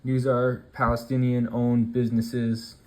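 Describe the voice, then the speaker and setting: A man's voice: medium pitch, monotone, unhurried, low-quality recording. A man from Alaska hosting a stream, commenting casually and offhandedly as he browses posts. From Alaska, United States. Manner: loose, offhand, rambling. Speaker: streamer host. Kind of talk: casual commentary on screen content.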